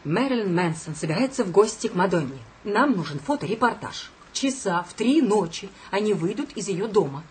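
A woman's voice: medium pitch, lively intonation, a little fast, few pauses, slight background noise, natural voice. An actress in a radio play as a bossy, scornful female editor with an imperious, exasperated manner. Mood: bossy, indignant. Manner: imperious, indignant.

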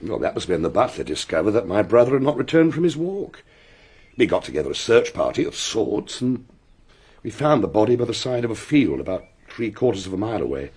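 An actor playing an emphatic, excitable English gentleman with an upper-class accent and a husky voice in a radio drama. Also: on the low side, brisk. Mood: sombre.

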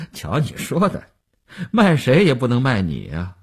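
A man's voice: mid-range voice, huge pitch swings, husky, full character voice. A man playing a smug, boastful stock speculator who cajoles his partner in chatty audiobook dialogue. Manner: chatty, cajoling, with drawn-out particles and chuckles.

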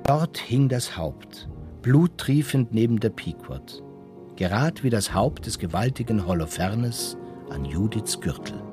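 An actor playing a solemn, grandiose narrator of a whaling tale in a radio drama. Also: male voice, lowish voice, sing-song, unhurried, over music.

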